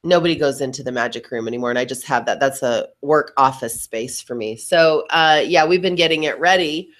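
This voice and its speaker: A middle-aged woman with a low voice, deepened by allergies, talks as a podcast co-host. She chats informally and puts emphasis on what she feels. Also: brisk. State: voice lowered by allergies. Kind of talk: casual chat.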